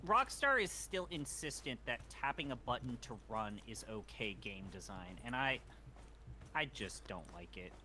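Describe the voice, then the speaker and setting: A man hosts a casual game stream over a desk mic, his voice bright and forward. He rambles and trails off mid-thought. A faint hum sits behind him. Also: medium pitch, very animated, unhurried. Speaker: streamer host. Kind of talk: casual gaming stream chat.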